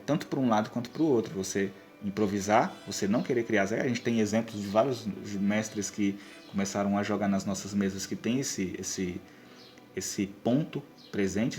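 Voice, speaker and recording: A man's voice: medium pitch, a little mellow. A man with a Brazilian accent giving conversational, explanatory advice as a podcast co-host and game master. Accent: Brazilian Portuguese. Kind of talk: conversational advice on tabletop role-playing games.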